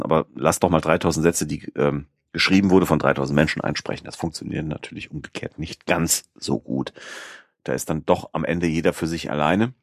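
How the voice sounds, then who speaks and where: Deep-voiced man chatting casually. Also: no room sound, clean studio sound. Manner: rambling, many fillers and restarts. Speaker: podcast host. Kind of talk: casual podcast chat.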